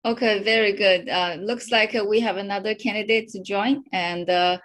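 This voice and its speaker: A woman hosts an online interview over a video call. She speaks slow, simple English with fillers, in a mid-range voice. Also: medium pitch, fairly even intonation, fairly bright tone. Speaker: show host.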